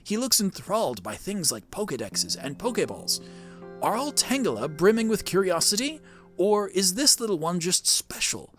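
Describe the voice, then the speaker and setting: A man voicing a kindly, curious grandparent professor from a video game, reading a diary in a gentle, musing manner. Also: high-pitched, sing-song, normal speed, soft background music. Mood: fond, musing.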